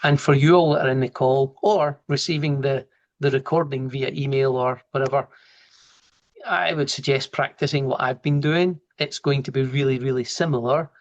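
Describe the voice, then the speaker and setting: A man's voice: medium pitch, moderate pace, highs cut off. Scottish man with a broad Glasgow accent. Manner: relaxed, informal, talks through steps as he works. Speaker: software trainer. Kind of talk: live online training, explaining and answering questions.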